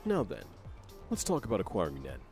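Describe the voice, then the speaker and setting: A man with a fairly low voice narrates a scripted game explainer. His intonation is lively, over faint background music. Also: fairly quick.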